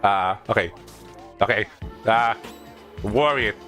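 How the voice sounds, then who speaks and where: A man giving casual video game commentary as a streamer, haltingly thinking aloud and often repeating himself. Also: fairly low pitch, sharp tone, faint music behind.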